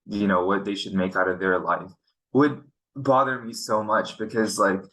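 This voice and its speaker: A man's voice: on the low side, steady tone, normal speed, fairly bright tone, rough recording. A young man from Pakistan hosting a casual podcast chat, earnest and frustrated, often restarting his sentences. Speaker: podcast host. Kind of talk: casual conversation.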